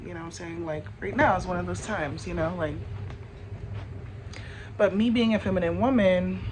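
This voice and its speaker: A young woman with a deeper voice for a woman, chatting candidly into her phone camera with lots of fillers. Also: a little hiss or hum, rough recording. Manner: chatty, candid, many fillers like "like" and "you know". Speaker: vlogger. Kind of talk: casual talk to camera.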